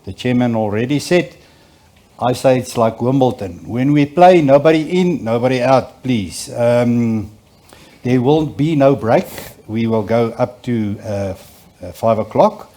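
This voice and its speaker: A man from South Africa gives the opening remarks as a conference facilitator. He speaks slowly in a warm, dark voice. His delivery is halting and full of filler sounds. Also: medium pitch, expressive. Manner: hesitant, many filler sounds. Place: conference auditorium.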